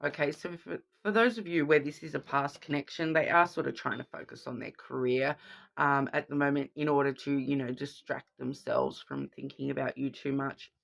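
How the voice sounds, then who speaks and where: A woman's voice: extremely low pitch, fairly even intonation, moderate pace, vocal fry. A woman with a cold, sounding sniffly, giving a chatty card reading to viewers and often checking in with "okay?". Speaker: tarot card reader.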